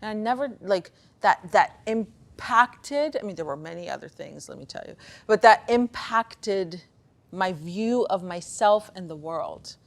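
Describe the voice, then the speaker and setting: A woman speaking casually in a motivational talk, telling personal stories in an animated, conversational way. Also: medium pitch, measured pace, creaky. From Pakistan, of Afghan heritage. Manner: conversational, animated storytelling, acting out bits of dialogue. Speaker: speaker giving a conference talk on beliefs and performance.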